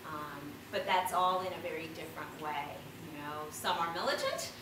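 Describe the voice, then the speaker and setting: A woman's voice: deep voice, moderate pace. A middle-aged woman giving a reflective, informal answer as a panelist. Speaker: panelist, nonprofit worker. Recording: very echoey hall, distant mic, noisy.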